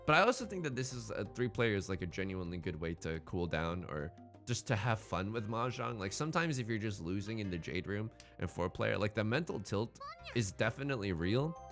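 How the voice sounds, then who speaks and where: A man's voice: fairly low pitch, big pitch swings, brisk, fairly bright tone, faint music behind. A man giving casual, chatty let's play commentary, thinking aloud in slangy terms as he goes.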